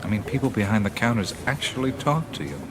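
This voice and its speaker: An actor with an American accent playing an easygoing, wry traveler in casual, musing radio drama dialogue. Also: male voice, medium pitch, fast, a crowd in the background, lightly acted. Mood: amused, relaxed. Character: easygoing, wryly humorous American male traveler.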